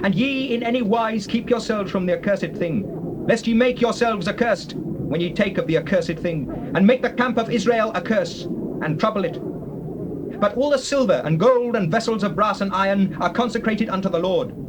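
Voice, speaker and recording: An actor playing a commanding, fervent Israelite war leader, declaiming in solemn scriptural language for an audio Bible drama. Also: male voice, sits high, moderate pace, close to an everyday voice. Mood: commanding, exultant. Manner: declamatory, archaic solemn oratory. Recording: noisy old cassette tape.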